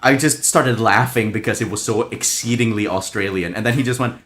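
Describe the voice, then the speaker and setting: A man chatting casually on a livestream, expressive and amused as he shares anecdotes with a friend. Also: lowish voice, a little fast. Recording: stream audio with music behind. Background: background music.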